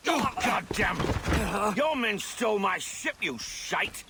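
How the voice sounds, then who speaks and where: A crusty old sea captain in a video game, salty and blunt. His voice is gravelly and slow, and it swings widely in pitch. He is quick to temper and curses freely. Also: big pitch swings, heavy background noise, full character voice. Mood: outraged. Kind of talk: video game character acting.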